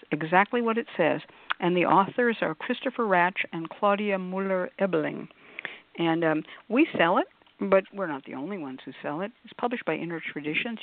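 A woman's voice: lowish voice, lively intonation, normal speed. A woman hosting a casual live talk show, chatty and effusive, with emphatic asides. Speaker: radio show host. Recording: phone-like, narrow-band sound.

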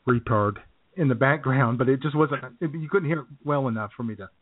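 A man co-hosting a comedy podcast, rambling and joking through his stories. His voice sits at an ordinary middle pitch. The sound is thin and tinny, like a phone line. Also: a little fast. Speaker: podcast co-host. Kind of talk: casual comedic chat.

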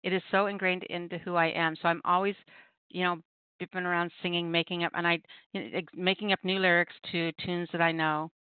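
A woman's voice: on the low side, level tone, brisk, clean tone, no echo. A middle-aged woman hosting a call-in poetry radio show, chatting casually with playful, self-deprecating banter and storytelling. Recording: muffled, dry radio call-in line.

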